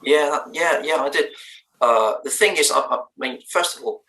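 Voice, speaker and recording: Danish-accented man, bright and roomy. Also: a little high, normal speed, vocal fry, recorded from a distance. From Denmark. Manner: thoughtful, hesitant, many fillers and restarts. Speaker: band's lead singer. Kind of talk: remote interview chat.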